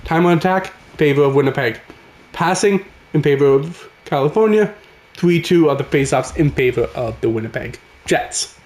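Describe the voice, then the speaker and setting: A man, raspy from a cold, giving casual play-by-play commentary over a hockey video game. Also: fairly high pitch, moderate pace, roomy sound. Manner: excitable, calls the action as it happens. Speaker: gaming channel host.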